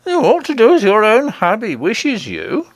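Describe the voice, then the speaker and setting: A man's voice: sits high, huge pitch swings, normal speed, no echo, voice pushed up, exaggerated voice. A man voicing a pompous, henpecked goblin king with a dignified but coaxing manner for a storybook. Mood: insistent.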